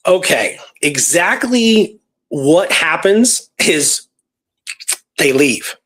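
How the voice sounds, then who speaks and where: A man hosting a talk show, retelling a true story in a casual, animated way with repeated phrases and pauses for drama. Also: fairly high pitch, breathy edge. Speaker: podcast host telling a true historical story.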